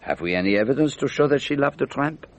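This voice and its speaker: An actor playing an elderly detective in a radio drama, speaking in a precise, deliberate, patiently instructive way. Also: male voice, mid-range voice, lively intonation, natural voice. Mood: patient, probing. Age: older. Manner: precise, deliberate, didactic questioning. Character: elderly, methodical, patient Belgian male detective.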